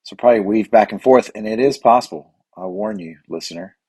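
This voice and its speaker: A middle-aged man, slightly hoarse and raspy, hosting a rambling solo podcast with lots of fillers and restarts. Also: lowish voice, a little fast, a little mellow, dry recording. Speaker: podcast host. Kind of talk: casual solo podcast monologue.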